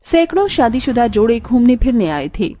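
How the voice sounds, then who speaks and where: A woman's voice: fairly high pitch, very animated, moderate pace, mellow, dry recording, studio quality. A woman narrating a scripted cartoon story as the storyteller.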